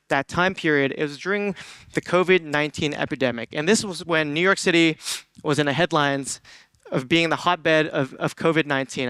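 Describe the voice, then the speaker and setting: Clear, bright-voiced man giving a stage talk. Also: on the high side, dry recording. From Hong Kong. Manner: earnest, asks the audience questions, frequent ums and restarts. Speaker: conference speaker.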